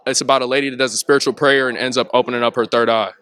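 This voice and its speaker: A young man, a student filmmaker, answering red carpet questions in an excitable, casual way. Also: mid-range voice, racing, smooth. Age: young adult.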